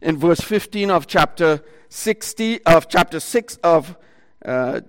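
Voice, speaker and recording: A man preaching a sermon into a microphone before an audience, with a loud voice and talk broken by fillers and restarts. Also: on the high side, lively intonation, takes it slowly, clear, resonant tone, in a large hall. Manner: loud voice, talks in bursts with many fillers and restarts. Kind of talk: sermon retelling a Bible story.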